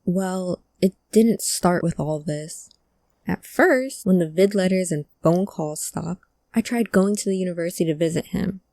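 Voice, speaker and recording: A woman playing a guarded, grieving friend of a missing person in a cyberpunk audio drama, halting and emotional in delivery. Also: fairly low pitch, warm tone, dry recording. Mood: insistent, hurt.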